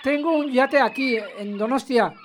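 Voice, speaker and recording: A man's voice: extremely high pitch, moderate pace. An actor playing a chatty, boastful man in his eighties, a retired financier and yachtsman calling a radio show. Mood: cheerful, chatty. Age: 80s. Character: spry, chatty 82-year-old widowed retired financier and yachtsman. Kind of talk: radio comedy drama, phone-in caller. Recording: faint noise, harbour gulls behind.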